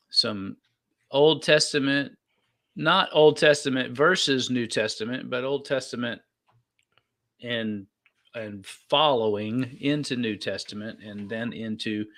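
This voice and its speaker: A man co-hosting a podcast in a casual, conversational way. His voice sits at a middle pitch, and his manner is informal and folksy. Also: takes it slowly, pauses often, a bit bright. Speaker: podcast co-host. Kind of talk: casual chat.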